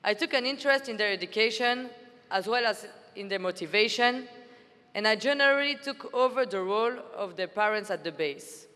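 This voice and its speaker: A woman's voice: medium pitch, animated, brisk, pushed and bright, smooth. A woman in her twenties from Belgium, an army officer giving an earnest, formal prepared speech at a conference. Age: 20s. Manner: earnest, formal, read-aloud delivery. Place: large conference hall. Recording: hall reverb from a venue PA.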